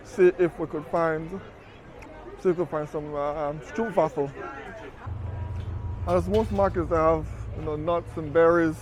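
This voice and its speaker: A man's voice: fairly high pitch, steady tone, a little slow, long pauses, some chatter behind. Chatty man vlogging on city streets. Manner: chatty, conversational, fond of "you know". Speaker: travel vlogger. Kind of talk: casual walking travel vlog. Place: outdoors on city streets and at a market.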